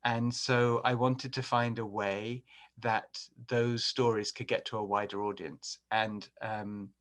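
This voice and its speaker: A man's voice: fairly low pitch, level tone, takes it slowly. English man presenting on a webinar. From England. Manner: conversational, frequent fillers and restarts. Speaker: podcast host and webinar presenter.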